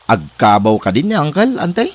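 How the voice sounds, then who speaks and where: A man's voice: a little high, huge pitch swings, moderate pace. A man playing a talkative nephew in an Ilocano comedy drama, with an Ilocano accent. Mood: chatty. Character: talkative, matter-of-fact nephew.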